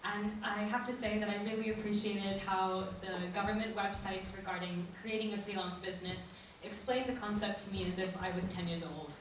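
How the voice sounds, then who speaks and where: A woman's voice: medium pitch, steady tone, normal speed, hardly any pauses, a little hiss or hum. A woman speaking as an entrepreneur guest on a live panel, fluent and upbeat. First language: English. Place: conference room with audience. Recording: echoey hall, voice from a distance.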